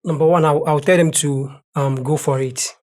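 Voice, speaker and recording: A man's voice: fairly high pitch, steady tone, normal speed, darkish tone. A young Nigerian man, a native Igbo speaker with a Nigerian accent, answering interview questions earnestly and often repeating words as he thinks. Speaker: guest translator.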